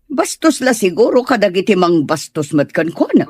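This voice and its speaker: Audio drama: judgmental elder sister played by a woman, mid-pitched, very lively intonation, scolding, northern Philippine accent. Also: normal speed, husky, dry recording, studio quality. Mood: scolding, suspicious.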